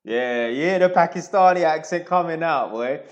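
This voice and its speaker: A man reacting to a clip on a stream, animated and repeating lines mockingly. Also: a little high, normal speed, highs cut off. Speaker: commentator on a live stream. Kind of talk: casual reaction commentary.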